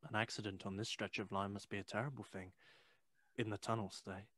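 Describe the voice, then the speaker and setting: A man playing a curious, courteous Victorian gentleman in an audio play, speaking in a polite, formal, inquisitive way. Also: lowish voice, level tone, fairly quick, dry recording. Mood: thoughtful.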